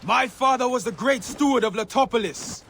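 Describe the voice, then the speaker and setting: Very high-pitched vengeful young warrior. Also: male voice, normal speed, gravelly, fairly bright tone, a little hiss or hum, full character voice. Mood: proud defiance. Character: proud, vengeful young man avenging his father. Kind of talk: dramatic video game acting. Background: music.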